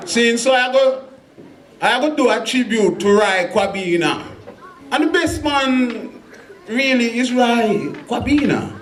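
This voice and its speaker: A poet from England with a Birmingham Jamaican patois, bantering with the crowd before a spoken word set. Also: male voice, very high-pitched, expressive, takes it slowly, a bit bright, echoey room, slight background noise.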